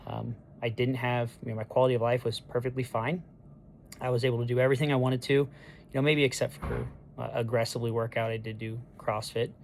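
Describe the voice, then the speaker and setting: A hoarse man in his thirties, his voice gravelly and worn the day after surgery. He talks in a flat, level way, stopping and restarting often with ums. Faint noise sits behind him in what sounds like a hospital room. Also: medium pitch. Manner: halting, with many ums and restarts. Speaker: patient sharing a recovery diary. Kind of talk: casual video diary.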